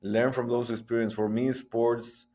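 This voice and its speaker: A middle-aged man from Guatemala, speaking as a podcast guest in halting, restarting sentences. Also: mid-range voice, little pitch movement, warm tone. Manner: halting, frequent restarts and repetitions. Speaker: guest, sports entrepreneur. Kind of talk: conversational interview.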